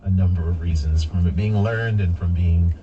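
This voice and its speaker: A man with a very deep voice chats loosely, leaning on fillers as he works through his point. The sound is dull and lo-fi, like a broadcast heard over a car radio, with steady noise behind it. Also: moderate pace. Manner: relaxed, rambling, frequent fillers. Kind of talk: casual chat.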